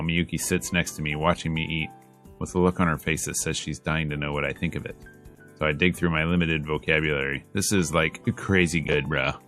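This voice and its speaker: A man with a low voice reads story narration and dialogue aloud as a streamer would. His delivery is casual and slangy, like someone playing through a visual novel, with music playing faintly underneath. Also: a bit bright. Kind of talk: casual let's play read-aloud.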